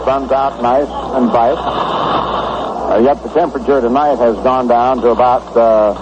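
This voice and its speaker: A man calling baseball on 1960s radio in a conversational, hesitant way with frequent pauses, as an unscripted play-by-play announcer. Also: mid-range voice, fairly even intonation. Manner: conversational, hesitant, pausing often. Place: ballpark broadcast position. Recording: noisy, muffled vintage AM radio broadcast.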